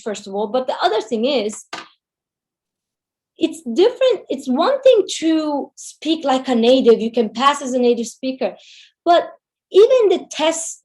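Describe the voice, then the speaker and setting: Middle-aged Turkish-native woman teacher, English with an accent, slightly high voice, warm encouraging advice over a video call. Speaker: Turkish language teacher, webinar speaker. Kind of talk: webinar talk, answering a question.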